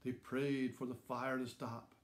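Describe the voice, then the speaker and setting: A man in his sixties narrates a children's story at a slow pace. His phrasing is measured and simple, with dramatic emphasis on key words. The recording has a clear room echo around the voice. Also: mid-range voice, little pitch movement. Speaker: storybook reader.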